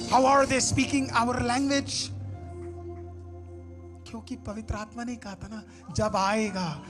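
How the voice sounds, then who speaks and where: A male pastor preaching a sermon, swinging from hushed asides to shouted exhortation. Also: very high-pitched. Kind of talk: Hindi sermon with English phrases. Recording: sermon with music behind. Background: music.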